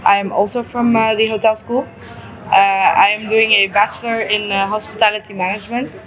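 Young woman, flat measured delivery. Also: mid-range voice, low-quality recording. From Switzerland. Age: young adult. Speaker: hospitality student. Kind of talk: casual testimonial.

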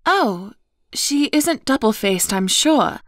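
A trusting young sweetheart in an audio drama. Her high voice is gentle and earnest, recorded close without any room sound. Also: lively intonation, slow pace, no echo. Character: Christine, sweet, trusting, devoted young woman. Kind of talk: audio stage play acting.

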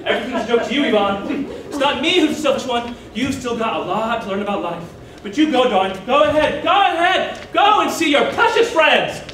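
A man's voice: very high-pitched, fast talker, few pauses. A man voicing an aggrieved, self-pitying older mother who guilt-trips her son, indignant and haughty, in a stage monologue. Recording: echoey room, distant mic, faint noise.

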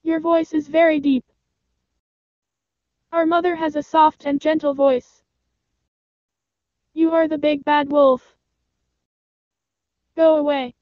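A woman voicing clever, defiant little goat children from a storybook, in a childlike, squeaky voice. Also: flat delivery, slow, long pauses, no room sound, lo-fi sound. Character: seven clever, defiant little baby goats.

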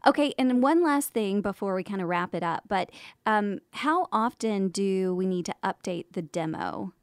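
A woman's voice: mid-range voice, lively intonation, normal speed, clean tone, no room sound. An American woman co-hosting a podcast in a casual, chatty style, speaking into a close studio microphone. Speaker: podcast co-host and voiceover coach.

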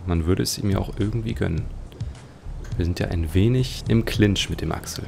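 A man's voice: low voice, normal speed, vocal fry, slight background noise. A man hosting a let's play with casual, musing commentary and wry understatement. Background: game music.

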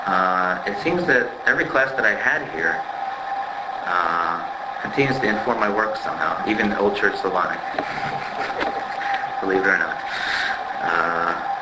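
A man in his thirties opening a guest lecture in a friendly, conversational way, with frequent fillers. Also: deep voice. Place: lecture room with wood panelling. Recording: echoey room recording with loud noise.

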